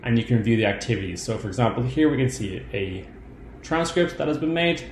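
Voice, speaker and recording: A man narrating a step-by-step software demo in an informal, explanatory way. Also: medium pitch, lively intonation, fairly quick. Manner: explanatory, informal instructional delivery. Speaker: software tutorial presenter. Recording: roomy echo, clear background noise.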